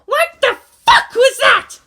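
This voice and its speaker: Combative teen girl pilot, shrill and high, emphatic delivery for an audio story. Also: big pitch swings, slow, bright, forceful tone, heavily acted. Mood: furious. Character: fiery furious teenage girl mecha pilot.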